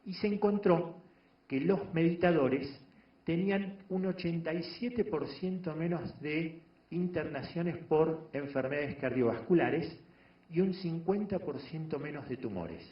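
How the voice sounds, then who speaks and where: A man giving a measured lecture on meditation to an audience, pausing often and restarting phrases. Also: fairly high pitch. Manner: measured, explanatory, frequent pauses and restarts. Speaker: doctor giving a talk on meditation. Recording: echoey room, dull lo-fi sound.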